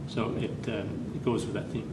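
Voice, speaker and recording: A man from Canada talks through his family's past in a halting way, filling pauses with "uh" and restarting phrases. His voice sits a little low and sounds far from the mic. The room echoes, and a steady noise runs behind him. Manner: hesitant, many fillers and restarts. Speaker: interviewee. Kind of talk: personal history interview.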